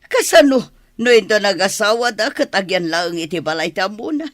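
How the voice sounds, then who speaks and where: A woman with a Filipino accent playing a scolding, exasperated mother in a village comedy radio drama, loud and grumbling. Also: medium pitch, lively intonation, moderate pace, no echo, clean studio sound, natural voice.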